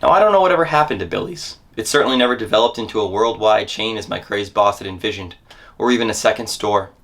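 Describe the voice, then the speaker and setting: A man's voice: fairly low pitch, quick pace, a bit bright. Man performing a dramatic first-person monologue. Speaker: dramatic reader of a memoir.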